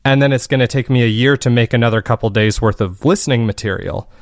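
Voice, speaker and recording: A man with a medium-pitched voice talks as a guest in an interview. He explains things in an earnest, reflective way, conversational and unscripted. The sound is dry, with very little room in it. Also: fast talker, no room sound. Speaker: guest, fiction podcast creator.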